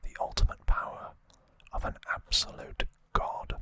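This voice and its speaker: An ASMR host talks entirely in a breathy whisper. The delivery is very slow and unscripted, rambling gently through trivia and opinion. The whispered sound is very bright and sharp at the edges.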